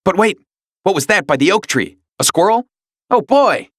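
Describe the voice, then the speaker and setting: Storybook watchdog, played by a man: excitable, loyal talking dog, raised pitch, lively swoops, simple earnest delivery. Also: normal speed, dry recording, studio quality, heavily acted. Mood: excited. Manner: exclaiming in short, earnest, simple sentences. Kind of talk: children's storybook character voice.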